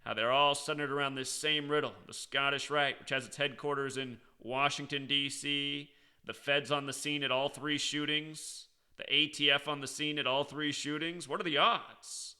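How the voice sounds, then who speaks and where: An American man hosts an off-the-cuff explainer in a slightly high, very sharp and forceful voice. His sound is clean and crisp. He is insistent and sarcastic, hammering key words by repeating them. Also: fairly even intonation, normal speed. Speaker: online commentator and video host. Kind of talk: unscripted explanatory commentary.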